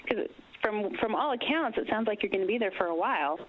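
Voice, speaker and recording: A woman's voice: mid-range voice, lively intonation. An American woman hosting a radio interview, upbeat and encouraging, asking friendly questions. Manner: upbeat, encouraging, quick to laugh. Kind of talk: casual interview. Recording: tinny phone-like radio line with faint hiss.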